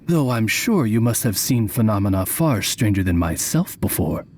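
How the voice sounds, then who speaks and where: A man voicing a courteous, gentlemanly talking raven familiar in a video game, suave and refined. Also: lowish voice, very animated, normal speed, a little hiss or hum. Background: game music.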